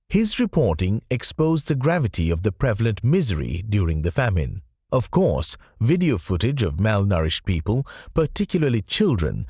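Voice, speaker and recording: A man reading scripted nonfiction audiobook narration in a measured, explanatory way. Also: medium pitch, darkish tone, husky, no echo, studio quality.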